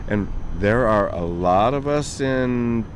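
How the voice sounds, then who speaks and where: A man hosting a casual interview, chatty and quick to laugh, from the American Southwest. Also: mid-range voice, animated. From Southwest US, northern New Mexico and southern Colorado. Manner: friendly, chatty, laughs easily. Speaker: interview host. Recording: noisy background with traffic.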